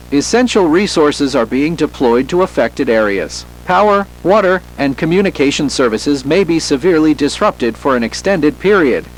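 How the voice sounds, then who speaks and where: A man with a fairly high voice delivers an official emergency announcement. His reading is measured and formal, following a script. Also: low-quality recording.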